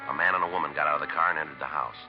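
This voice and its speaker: An American man playing a terse, methodical police sergeant in a 1950s radio crime drama, speaking in a deadpan, matter-of-fact, clipped way. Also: very deep voice, racing. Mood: flat, factual. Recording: dull vintage radio recording with music.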